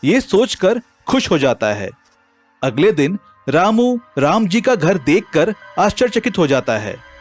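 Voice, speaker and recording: Animated high-voiced man telling a story. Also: unhurried, soft background music. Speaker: story narrator. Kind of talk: scripted storybook narration.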